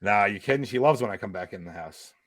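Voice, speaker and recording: A man's voice: fairly low pitch, lively intonation, fast, a bit bright, no room sound. Laid-back man hosting a casual chat. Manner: laid-back, swears casually, laughs often. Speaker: host.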